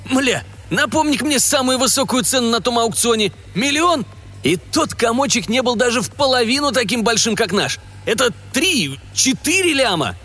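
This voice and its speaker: A coarse, greedy scavenger of the wasteland, loud and excited, voiced by a man. His voice jumps high and swoops all over. Faint music plays behind him. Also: moderate pace, exaggerated voice. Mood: gleeful. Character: boisterous greedy male stalker, crude slang.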